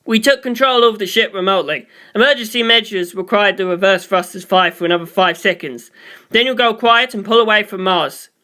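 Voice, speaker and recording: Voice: medium pitch, a little fast, bright, forceful tone, close to an everyday voice. A reader voicing a tense male mission control officer in a space story, urgent and formal. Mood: controlled panic.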